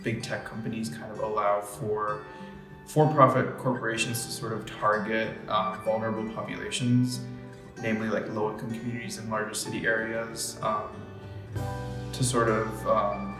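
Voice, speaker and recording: A man's voice: lowish voice, flat delivery, normal speed, over music. A nineteen-year-old man from Brooklyn answering interview questions thoughtfully, hesitating with many fillers. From Brooklyn, New York. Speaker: young interviewee. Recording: roomy echo.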